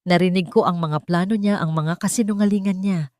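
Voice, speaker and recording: Casting: woman narrator as wealthy romantic male lead, earnest and emotional, slow intimate delivery, dry close sound. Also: fairly low pitch, a little mellow, no room sound, close to an everyday voice. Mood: wounded, grave. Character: earnest, tender wealthy man confessing hidden love. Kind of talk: dramatic romance audio story.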